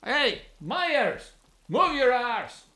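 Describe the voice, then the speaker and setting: An actor playing a brash, authoritative 1930s American police officer in a radio drama, with a brisk manner. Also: male voice, very high-pitched, sing-song, sharp tone, heavily acted. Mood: commanding, gruff.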